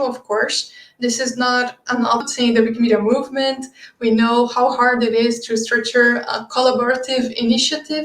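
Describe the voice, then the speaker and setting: Earnest Brazilian woman, echoey room. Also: on the high side. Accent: Brazilian Portuguese. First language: Brazilian Portuguese. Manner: earnest, explanatory, with thoughtful pauses. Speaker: presenter. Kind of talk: online conference talk.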